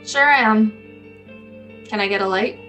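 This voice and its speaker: A woman acts a frustrated young woman in a drama. Her voice is bright and edged, unhurried, with faint music behind it. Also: medium pitch, muffled recording. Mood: casual. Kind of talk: drama dialogue.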